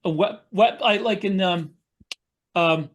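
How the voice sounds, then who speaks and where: A man talking casually as a podcast panelist, with a halting, rambling delivery. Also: high-pitched, lo-fi sound, slight background noise.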